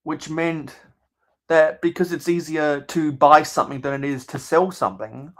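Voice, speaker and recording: A young man with a mild Australian accent, chatting casually as a channel host in a measured, halting way that circles back on his phrases. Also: on the high side, steady tone. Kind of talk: casual livestream chat.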